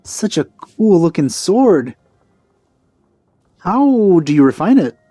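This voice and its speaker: A man's voice: high-pitched, big pitch swings, slow, warm tone, dry recording. A man giving casual let's play commentary, informal and musing to himself as he goes. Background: faint game music.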